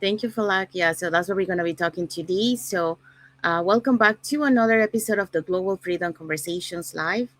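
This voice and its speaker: A woman from Panama hosting an online panel show in a relaxed way, with many fillers. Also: on the low side, a little fast, no room sound. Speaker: host.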